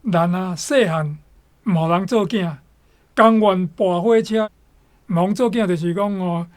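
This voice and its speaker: A woman's voice: lowish voice, a little slow. A woman with a Taiwanese accent giving spoken commentary on a story as a guest, emphatic and rephrasing as she goes.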